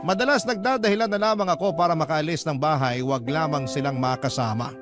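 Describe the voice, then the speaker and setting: A man's voice: a little high, a little fast, close to an everyday voice. A man reading a scripted first-person story as an emotional radio storyteller. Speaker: storyteller narrating a letter sender's story. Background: background music.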